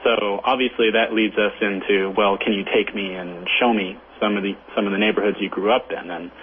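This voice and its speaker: A man's voice: lowish voice, fairly even intonation, brisk. A man giving conversational interview answers as a guest over the phone. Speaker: film director, interview guest. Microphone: phone call. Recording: tinny phone line with faint noise.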